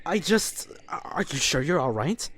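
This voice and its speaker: A woman playing a gentle, apologetic young male survivor in a zombie apocalypse audio drama, hesitant and solicitous. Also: low-pitched, sing-song, measured pace. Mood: flustered, apologetic. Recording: slightly noisy amateur recording with ambience.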